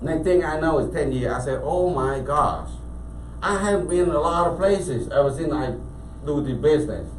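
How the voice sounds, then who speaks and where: Man, cambodian accent, animated storyteller, echoey room, mic set back. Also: a little high, normal speed. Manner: animated storytelling with frequent restarts. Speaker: interview guest, business owner. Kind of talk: casual storytelling interview. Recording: echoey room, mic at a distance, faint background noise.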